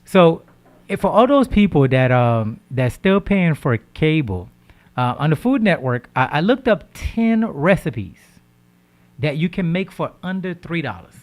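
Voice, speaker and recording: A man hosting a radio talk show, giving casual diet advice. He speaks with lively energy, repeats words, and keeps checking in with "right?". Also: a little high, measured pace. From Haitian background. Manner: animated, repeats words, asks "right?" often.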